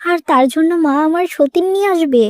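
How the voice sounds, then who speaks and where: A woman's voice: very high-pitched, fairly quick, no echo. A woman voicing an emotional, plaintive young modern wife in a moral-story cartoon. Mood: heartbroken.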